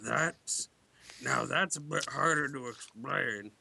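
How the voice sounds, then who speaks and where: A man reading character lines haltingly in an amateur acted story reading. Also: medium pitch, gravelly, bright, forceful tone, husky.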